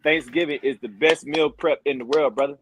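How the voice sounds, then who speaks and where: A man's voice: a little high, a little fast, fairly bright tone, a little hiss or hum, rough recording. A man in his forties, a podcast guest, chatting casually and telling stories with many fillers and repeated words. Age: 40s. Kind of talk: casual chat.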